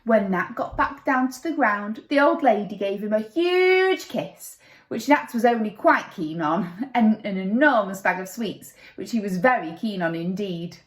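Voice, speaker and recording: A woman's voice: medium pitch, expressive, a little slow. A woman reading a children's story expressively, with dramatic, playful narration, recorded in an echoey room. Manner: dramatic, playful with sound effects.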